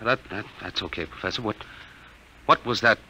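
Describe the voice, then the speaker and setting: A man's voice: lowish voice, moderate pace, pushed and bright, slightly rough, exaggerated voice. An American actor in a 1950s radio drama, playing a slangy, brash young man who is sidekick to a professor. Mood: amazed. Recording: vintage 1950s radio recording.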